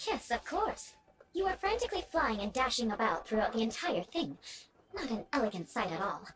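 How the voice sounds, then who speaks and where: A woman's voice: a little high, big pitch swings, brisk, heavy background noise. A woman playing a poised young aristocratic knight in a video game, speaking formally and strictly with a teasing edge. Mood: reproving. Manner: formal, composed, haughty instruction.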